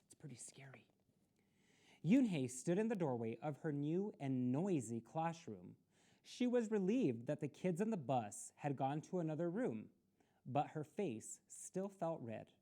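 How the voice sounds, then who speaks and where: A woman storyteller reads to children. Her voice is mellow and low, and she takes her time, with lively, expressive intonation. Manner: deliberate, with explanatory asides to children. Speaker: storytime reader.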